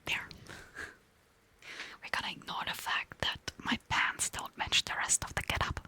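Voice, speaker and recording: A woman's voice: a little slow, bright, forceful tone. A woman streaming in an ASMR whisper, chatting playfully with viewers while still tired from recovering from an illness.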